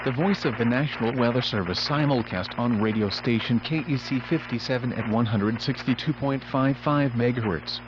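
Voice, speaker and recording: A synthetic man's voice delivers formal weather alerts over the radio. The sound is dull and lo-fi, buried in heavy noise and hum. The delivery is measured and impersonal, at a medium pitch. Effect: weather radio broadcast. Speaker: automated weather radio announcer. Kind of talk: scripted emergency weather alert bulletin. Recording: muffled noisy weather radio broadcast, humming.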